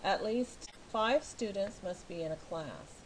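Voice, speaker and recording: A middle-aged American woman from California, a teacher talking slowly and patiently through each step of filling in a form online. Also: mid-range voice, lively intonation, a little hiss or hum, rough recording. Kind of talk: screen walkthrough tutorial.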